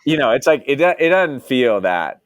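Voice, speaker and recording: A man's voice: mid-range voice, very animated, normal speed, no room sound, clean studio sound. A young man from Dallas, chatting casually as a podcast guest, rambling and filling his talk with "like" and "you know". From Dallas, United States. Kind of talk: casual interview chat.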